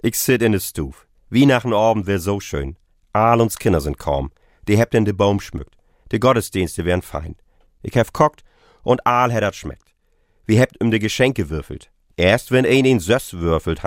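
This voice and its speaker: A man with a northern German Low German accent giving a homely scripted radio devotional, addressing listeners directly. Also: lively intonation, normal speed, no echo, clean studio sound.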